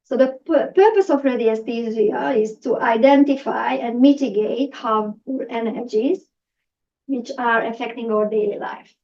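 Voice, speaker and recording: A woman's voice: fairly high pitch, measured pace, dark tone. A woman from Hungary with a Hungarian accent, giving a public talk to a live audience with many fillers and restarts. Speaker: presenter. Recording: distant, dull lo-fi room recording.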